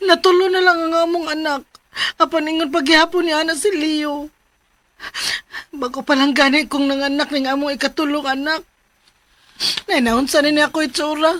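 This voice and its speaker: A woman's voice: sits high, fairly even intonation, moderate pace, long pauses, fairly bright tone. A woman playing a desperate, battered young mother in a radio drama, pleading in a Cebuano accent. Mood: anguished pleading. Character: battered young mother, desperate, leaving her abusive husband.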